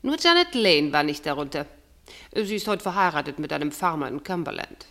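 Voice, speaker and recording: An actress playing a shrewd, probing woman with an arch, deliberate manner in a German radio drama. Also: deep voice, sing-song, fairly bright tone, full character voice. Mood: knowing. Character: shrewd, needling woman exposing a man's secrets.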